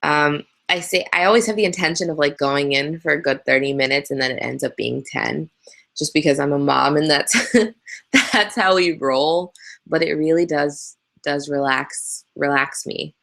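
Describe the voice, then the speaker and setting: A woman's voice: deep voice, moderate pace, creaky, a bit bright. A young woman from Canada, hosting a casual live Q&A, chatty and candid with frequent ums, speaking into a webcam. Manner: chatty, candid, laughs easily, many ums. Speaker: host answering viewer questions. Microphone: webcam on a live video hangout.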